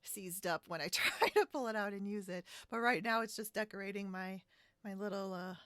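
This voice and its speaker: A woman hosting a podcast in casual, informal conversation, chatty and quick to giggle. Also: mid-range voice, fairly bright tone, no room sound. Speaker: podcast host. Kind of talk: casual chat about sewing. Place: indoors, split-screen video call.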